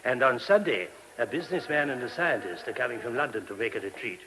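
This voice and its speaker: A gentle abbey priest acted by a man in an old radio drama, with faint hiss on the recording. His mid-pitched voice is earnest and polite, slightly hesitant as he explains. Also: lively intonation, quick pace, lightly acted. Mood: earnest, explanatory. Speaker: actor in radio play.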